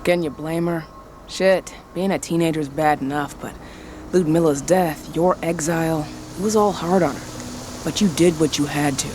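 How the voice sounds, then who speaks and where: An actress playing a frank, supportive activist comrade and old friend, casual and blunt, in a video game cutscene. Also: female voice, low voice, normal speed, natural voice. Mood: frank, reassuring. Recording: clear ambient noise behind the voice.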